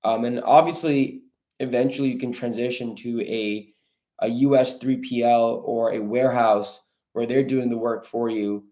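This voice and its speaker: A man's voice: medium pitch, steady tone, normal speed, mellow. A man hosting a livestream, answering viewer questions in a casual, coaching style and chatting informally with his audience. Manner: informal, addresses viewers as bro and guys. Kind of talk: casual live Q&A, coaching online sellers.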